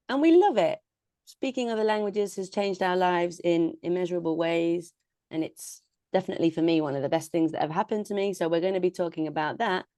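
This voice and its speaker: A woman's voice: lowish voice, mellow, no echo, clean studio sound. A British woman co-hosting a podcast in a casual, conversational style. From United Kingdom. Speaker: podcast co-host. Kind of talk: casual chat.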